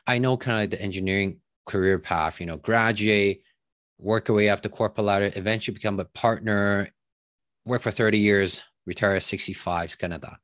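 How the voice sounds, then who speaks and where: A man, telling his story as a podcast guest in a relaxed, chatty way with many fillers. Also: fairly low pitch, brisk, no room sound, studio quality. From Taiwan. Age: 30s. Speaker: podcast guest, real estate investor. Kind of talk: casual interview chat.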